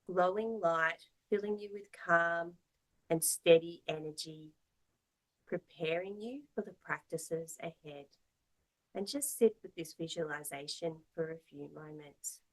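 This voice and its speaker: A woman teaching yoga, guiding a meditation in a soothing, measured, gently instructive manner. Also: fairly low pitch, rough recording.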